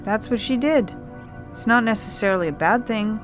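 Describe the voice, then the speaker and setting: A woman voicing a gentle, avoidant young man in an audio fiction story. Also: a little high, moderate pace, soft background music, voice pushed up, natural voice. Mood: resigned, reassuring.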